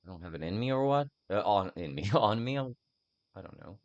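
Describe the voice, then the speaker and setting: A man's voice: lowish voice, lively intonation, moderate pace, highs cut off. A man giving casual video game commentary, thinking aloud in a rambling, self-mocking way over game sounds.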